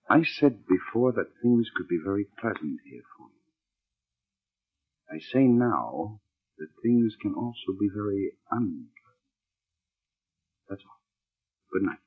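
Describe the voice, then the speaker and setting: An actor playing a scheming, menacing husband in a 1940s radio drama, speaking in an insinuating, emphatic way. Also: male voice, lowish voice, big pitch swings, slow, long pauses, husky, exaggerated voice. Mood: smoothly menacing. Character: scheming, menacing husband and would-be playwright, smooth then impatient. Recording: muffled, band-limited old radio recording.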